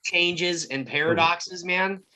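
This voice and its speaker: A person chatting on a video call in an excitable, joking way and calling people "dude.". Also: mid-range voice, slow, poor audio. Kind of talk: casual online chat.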